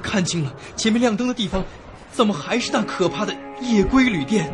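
A man's voice: sits high, expressive, brisk. An actor playing a terrified young man who narrates his own horror ordeal in a suspense radio drama, breathless and dramatic. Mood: tense narration. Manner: breathless, dramatic, swinging from hushed to panicked. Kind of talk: Chinese suspense radio drama acting and first-person narration. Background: dramatic background music.

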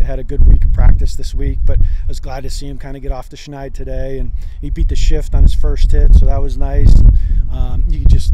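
A middle-aged man, a baseball head coach, giving a rambling post-game interview outdoors on a field into a handheld mic. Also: medium pitch. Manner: rambling, many restarts and fillers. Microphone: handheld mic held by interviewer. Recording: outdoor field noise, gusting wind.

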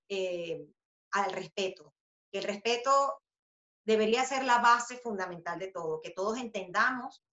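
Bright-voiced Venezuelan woman on a video call. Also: medium pitch. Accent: Venezuelan Spanish. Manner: animated, gestures a lot, many fillers and restarts. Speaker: interviewee, author and journalist. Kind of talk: casual interview answers. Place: home room with a book poster. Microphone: video call webcam. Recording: roomy, slightly dull webcam audio.

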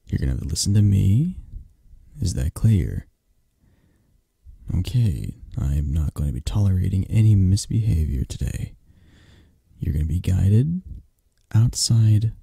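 A man acts out a controlling yet protective mafia boss boyfriend for an ASMR romance roleplay. His voice is low and husky, and he takes his time over each phrase. He coaxes and commands softly, close to the listener. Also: dry recording, exaggerated voice. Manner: unhurried, commanding, coaxing, close and soft.

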